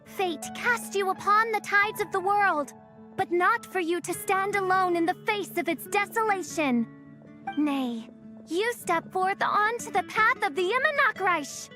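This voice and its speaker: A very high girlish voice plays a grandiose teenage gothic princess. She declaims in archaic, pompous phrases like a stage heroine in a video game, over clearly audible music. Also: expressive, measured pace, sharp tone, full character voice. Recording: music behind the voice.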